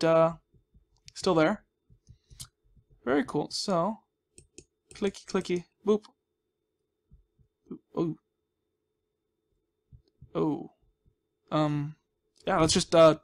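A man's voice: on the high side, slow, creaky, long pauses. A young man giving casual, playful gaming commentary, rambling and reacting to himself as he plays. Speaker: gaming host. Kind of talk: casual Let's Play commentary.

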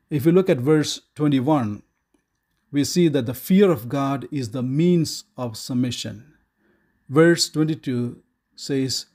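A middle-aged man teaching a Bible study, measured and deliberate, reading verses aloud with frequent "uh" fillers, recorded indoors close to the camera. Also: medium pitch, darkish tone.

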